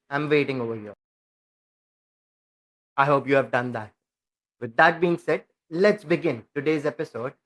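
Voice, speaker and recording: A man's voice: mid-range voice, fairly bright tone. A man hosting a podcast, speaking earnestly and eagerly, with repetitive phrasing as he introduces his guest. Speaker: podcast host.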